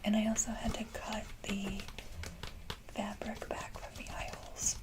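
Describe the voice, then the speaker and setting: A woman whispering breathily in a casual ASMR style, speaking to viewers in a gentle, halting manner. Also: medium pitch, monotone, loud music behind the voice. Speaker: ASMR video creator. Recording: clearly noisy, hissy background.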